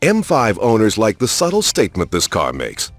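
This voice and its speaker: A man's voice: fairly low pitch, wildly swooping pitch, moderate pace, no room sound. A man reading scripted promotional narration in a polished, emphatic announcer manner, as for car showcases in a racing game. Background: music.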